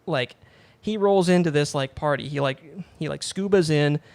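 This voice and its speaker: Dude hosting a podcast, kinda high voice, rambles, says like a lot, super dry mic. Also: male voice, normal speed, gravelly, darkish tone, no room sound. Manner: rambling, lots of "like" fillers, swears casually. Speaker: podcast host. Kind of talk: casual podcast chat.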